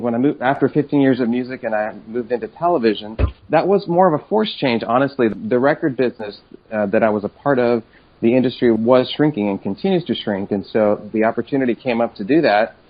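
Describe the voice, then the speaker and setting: A man's voice: medium pitch, a little fast, lo-fi sound. A man in his forties, from Chile, a career coach guest telling his story upbeat and enthusiastically. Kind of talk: interview conversation.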